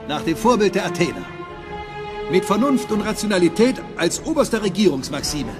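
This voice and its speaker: An actor playing a stern visionary founder of a secret society, speaking in a solemn, declamatory way. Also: male voice, high voice, sing-song, fairly quick. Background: music.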